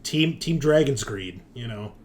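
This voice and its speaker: A man's voice: medium pitch, animated, a little slow, a little hiss or hum. A man hosting a tabletop game as game master, bantering casually with teasing and swearing.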